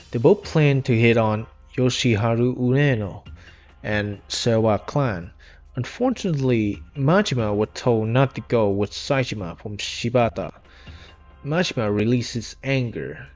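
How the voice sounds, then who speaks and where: A man's voice: medium pitch, darkish tone. A man narrating an informal scripted story retrospective in loose, non-standard grammar, recorded on an inexpensive microphone. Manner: non-standard grammar with dropped verb endings. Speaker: video narrator. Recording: dull lo-fi cheap mic, music behind. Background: music.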